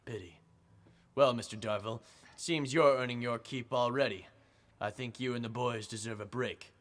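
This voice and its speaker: A man's voice: mid-range voice, fairly even intonation, normal speed, close to an everyday voice. Man acting a clipped, menacing crime boss. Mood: cold menace. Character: cold, menacing male crime boss; also a polite butler. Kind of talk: old-time radio serial acting.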